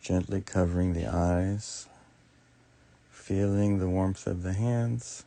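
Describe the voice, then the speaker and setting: A man leading a guided meditation as teacher, speaking soothingly in short, unhurried phrases with long pauses. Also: low-pitched, fairly even intonation, vocal fry, mellow. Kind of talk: guided meditation, improvised soft instruction.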